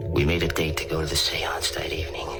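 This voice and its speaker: Brooding male killer, low pitch, deliberate dramatic confession, vintage radio thriller, loud music behind. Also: monotone, in a whisper. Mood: tense, menacing. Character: obsessive scheming murderer narrating his own crime. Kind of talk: 1940s radio thriller acting, confessional narration.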